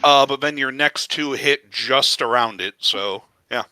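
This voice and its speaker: A man running an online tabletop game as game master, relaxed and joking, often laughing. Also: mid-range voice, a little slow, smooth, no room sound, poor audio.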